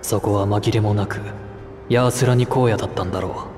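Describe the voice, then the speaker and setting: A man's voice: lowish voice, steady tone, very fast, close to an everyday voice. A man playing a somber young man in a video game, narrating an uneasy homecoming in a brooding, reflective way. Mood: somber, troubled. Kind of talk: scripted game cutscene monologue. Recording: long echoing reverb, music underneath.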